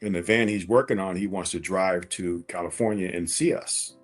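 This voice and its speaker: Deep-voiced man telling his personal story. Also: normal speed, faint music behind. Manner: conversational, repeats phrases for emphasis. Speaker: interview guest, coach.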